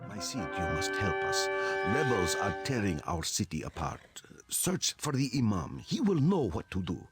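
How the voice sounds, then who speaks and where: An urgent medieval townsman pleads dramatically in a game. His voice is on the low side and animated, with loud music around it. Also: normal speed. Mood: urgent, pleading.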